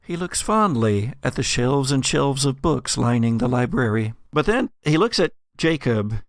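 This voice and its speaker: A man's voice: medium pitch, very animated, dry recording. Dry-recorded man narrating gothic horror. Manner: measured, dramatic storytelling. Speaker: game master and narrator.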